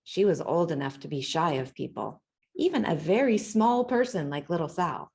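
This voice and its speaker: A woman reading a children's picture book aloud in an expressive storytelling manner, acting out the sounds and dialogue. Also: low-pitched, normal speed, slightly rough. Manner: animated, acts out sounds and dialogue. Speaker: storybook reader.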